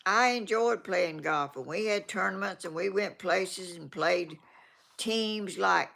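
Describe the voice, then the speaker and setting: Slow gravelly Georgia grandma in her eighties. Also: mid-range voice, a bit bright. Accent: Southern US, Georgia. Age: 80s. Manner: slow rambling, trails off and restarts. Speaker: vlogger reading viewer comments. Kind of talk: casual rambling chat.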